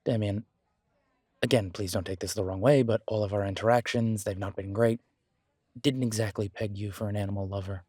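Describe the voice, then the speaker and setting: A man playing a wary, sardonic werewolf mechanic in an audio drama, with a husky voice and a dry, measured manner. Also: fairly low pitch, fairly even intonation, a little mellow, no room sound. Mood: dry, candid. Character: wary, sardonic male werewolf mechanic, blunt but polite. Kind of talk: audio roleplay drama dialogue.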